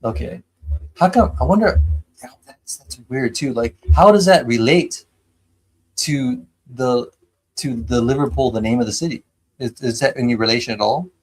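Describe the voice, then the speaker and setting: A man's voice: mid-range voice, normal speed, breathy edge, low-quality recording. An American man from San Diego hosting a live stream, chatting enthusiastically with lots of fillers and restarts. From San Diego, United States. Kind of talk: casual chat.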